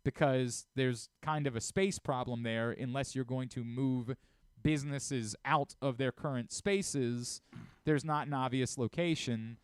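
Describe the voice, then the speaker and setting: Clear-voiced man hosting sports talk radio. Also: mid-range voice, a little slow, no room sound, clean studio sound. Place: radio studio.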